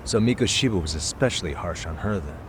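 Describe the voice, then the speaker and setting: Cool, low-voiced sardonic detective. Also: male voice, animated, fast, noisy background. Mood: stern. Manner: confident, needling, stern. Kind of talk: video game voice acting.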